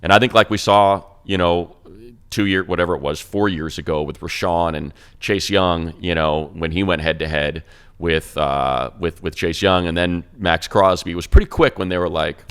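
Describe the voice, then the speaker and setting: A man's voice: sits low, moderate pace, fairly bright tone, clear, resonant tone. A man who is a radio play-by-play announcer, chatting on a sports talk show, breaking down plays in long rambling runs with many restarts.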